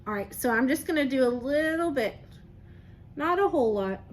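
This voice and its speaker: High-voiced woman teaching young children. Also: lively intonation, normal speed, a little hiss or hum. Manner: encouraging, asks questions and praises listeners as if they answer; simple step-by-step explaining. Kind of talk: interactive children's lesson, talking to camera.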